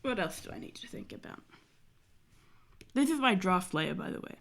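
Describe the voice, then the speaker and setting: A woman's voice: medium pitch, very animated, normal speed, creaky, a little hiss or hum. A young woman streamer, nasal and stuffy from a cold, chatting casually and rambling with many fillers as she draws. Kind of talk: casual chat while drawing.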